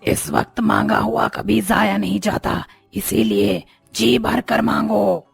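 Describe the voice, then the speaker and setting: Raspy, creaky, slow-talking witch. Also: medium pitch, wildly swooping pitch, no room sound, soft background music, heavily acted. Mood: solemn. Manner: slow, taunting delivery. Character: menacing female witch of a haunted mansion. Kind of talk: cartoon horror story acting.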